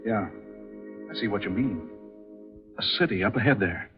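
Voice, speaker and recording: A man's voice: lowish voice, moderate pace, soft background music, lightly acted. An actor playing a clipped, commanding leading man in an old-time radio drama. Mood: urgent. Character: brisk, skeptical leading man, commanding yet tender. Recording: muffled, tinny vintage radio recording.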